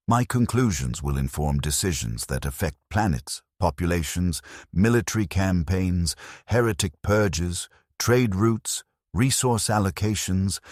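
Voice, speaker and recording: Deep-voiced guy reading a dark first-person story, detached and measured, breathy edge. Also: dry recording, clean studio sound. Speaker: narrator.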